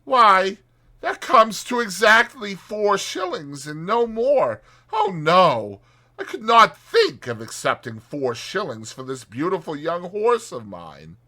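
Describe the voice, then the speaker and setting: A man's voice: high voice, wildly swooping pitch, slow, bright, forceful tone, voice pushed up, heavily acted. A man voicing a boastful, pompous toad haggling in a wordy, indignant manner in a children's storybook. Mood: affronted. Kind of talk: dramatized children's storybook reading.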